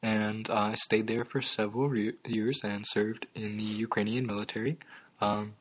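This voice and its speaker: A young man hosting a comedy talk show, delivering absurd claims in a halting deadpan full of ums and uhs. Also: fairly low pitch.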